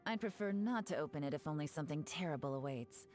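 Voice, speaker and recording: A woman's voice: low voice, animated, quick pace, darkish tone, soft background music. A woman voicing a skeptical, coolly aloof young woman in a video game, dry and blunt. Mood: dismissive, skeptical.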